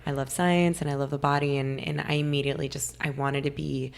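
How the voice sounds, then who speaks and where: A young woman from California, slightly hoarse, talking eagerly as a podcast guest. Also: deep voice, flat delivery, fairly quick. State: a little hoarse. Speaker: guest nutritionist. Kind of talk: casual interview talk.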